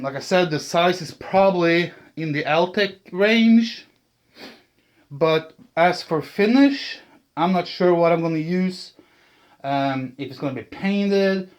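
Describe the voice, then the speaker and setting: A man talking casually and unscripted about a hobby build, thinking aloud in a hesitant, halting way as he presents. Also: a little high, darkish tone. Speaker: channel host, speaker builder.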